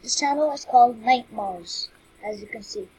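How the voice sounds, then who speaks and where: A woman's voice: a little high, normal speed, a little mellow, slightly rough. A young woman starting her first channel, talking in a rambling, hesitant way with many fillers, recorded on a cheap microphone. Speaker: new YouTuber. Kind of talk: casual vlog intro. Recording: faint background noise, poor mic.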